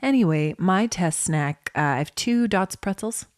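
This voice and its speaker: A woman's voice: fairly low pitch, lively intonation, a little slow, no echo. Woman podcaster in casual comedic banter, dry sound. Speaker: podcast co-host.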